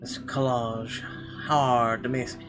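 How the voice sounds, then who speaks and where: A mid-pitched man reads visual novel text aloud in a let's play style. His delivery is expressive, with occasional stumbles and offhand asides, and game music plays clearly behind him. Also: slow pace. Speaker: game streamer.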